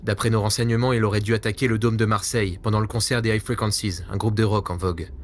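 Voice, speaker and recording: A man's voice: fairly low pitch, little pitch movement, fast talker, slight background noise, natural voice. A man playing a weary, self-doubting veteran secret agent in a spy audio drama, earnest and brooding. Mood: earnest, defensive.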